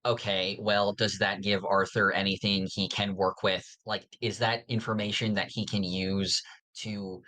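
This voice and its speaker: A man's voice: lowish voice, level tone, a little slow, sharp tone. A man co-hosting a podcast in casual discussion, thoughtfully explaining his reasoning. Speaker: podcast co-host.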